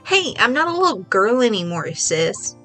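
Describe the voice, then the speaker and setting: A woman's voice: fairly high pitch, very animated, moderate pace, bright, forceful tone, faint music behind, exaggerated voice. A woman with a stuffy voice voicing a perky, teasing young woman, a kid sister bantering on the phone in a video game read-along. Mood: playful.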